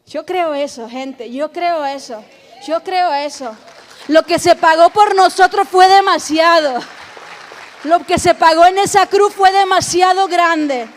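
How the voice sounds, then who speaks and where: A woman pastor preaching a sermon, emphatic and loud, calling on the congregation to repeat after her. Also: extremely high pitch, a little slow, a faint crowd, a little hiss or hum. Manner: shouting, emphatic preaching with call-and-response.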